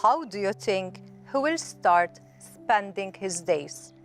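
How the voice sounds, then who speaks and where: A woman reading a children's story aloud, with deliberate, expressive storytelling emphasis. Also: medium pitch, soft background music. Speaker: storybook reader.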